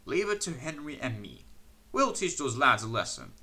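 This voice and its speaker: Man doing a calm, steady train driver. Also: mid-range voice, normal speed, exaggerated voice.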